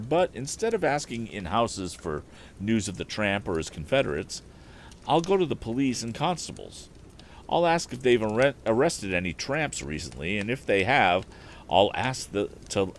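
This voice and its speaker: An earnest young inventor, played by a man reading a storybook, speaks with determination. He explains himself eagerly, with a lively, swinging pitch over faint background noise. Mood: determined. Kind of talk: acted audiobook dialogue.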